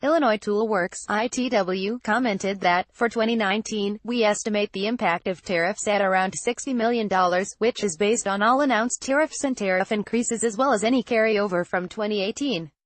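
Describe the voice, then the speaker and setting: A woman narrating a scripted financial news article in a measured, formal read-aloud delivery. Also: medium pitch, dry recording.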